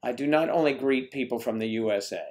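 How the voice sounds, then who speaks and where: A man's voice: medium pitch, quick pace, a little mellow. A man hosting a casual livestream from home, rambling as he greets chat viewers and stumbles over their names.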